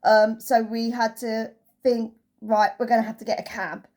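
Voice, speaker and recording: A woman's voice: on the high side, little pitch movement, normal speed, darkish tone. A woman giving a casual, chatty holiday review as a travel vlogger, telling it through anecdotes.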